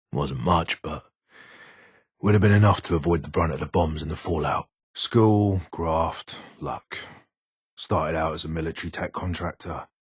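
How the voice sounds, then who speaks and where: Casting: a grumbling, guarded older British ghoul engineer for a game; deep gravelly voice, close dry sound. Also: male voice, dry recording, exaggerated voice. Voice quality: raspy, gravelly. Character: gruff, irritable older male ghoul weapons engineer. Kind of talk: video game character dialogue.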